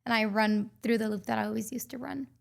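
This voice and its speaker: A woman's voice: a little high, fairly even intonation, quick pace, gravelly. A woman in her twenties from Costa Rica, a mindset coach talking earnestly as a podcast guest, with many filler words. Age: 20s. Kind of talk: podcast interview.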